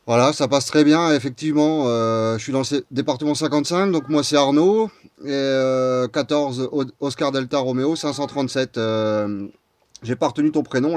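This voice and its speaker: A man's voice: medium pitch, a little mellow. A man chatting casually and colloquially as an amateur radio operator into a close microphone. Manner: informal, colloquial.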